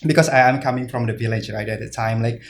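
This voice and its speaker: A man's voice: mid-range voice, steady tone, fast, creaky. A man from Indonesia answering interview questions earnestly, with many fillers and restarts. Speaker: guest speaker.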